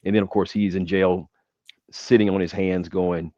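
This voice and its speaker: Midwestern man as podcast guest; low voice, halting and rambling, dull dry recording. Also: flat delivery, warm tone, no room sound. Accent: Midwestern American. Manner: rambling, with many restarts and hesitations. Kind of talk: casual podcast chat.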